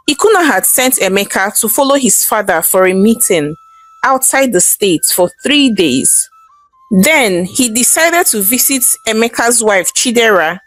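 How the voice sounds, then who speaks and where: Lively woman storyteller over soft music. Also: a little high, poor audio. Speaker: storyteller narrator. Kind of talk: scripted folktale narration, dramatic storytelling.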